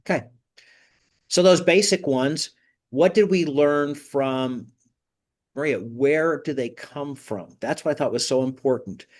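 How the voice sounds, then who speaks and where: A man's voice: fairly high pitch, unhurried. A man hosting a job-search group, teaching informally and prompting participants with questions.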